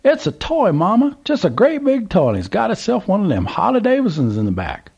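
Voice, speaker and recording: A man voicing an excitable, toothless old Southern man who drawls and exclaims in a high voice. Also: normal speed, husky, muffled recording, full character voice. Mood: excited.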